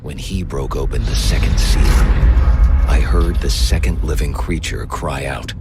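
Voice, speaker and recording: A man giving a solemn, measured, dramatic narration of scripture as the narrator of an audio drama. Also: extremely low pitch, steady tone, loud music behind the voice. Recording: studio voice under loud orchestral score.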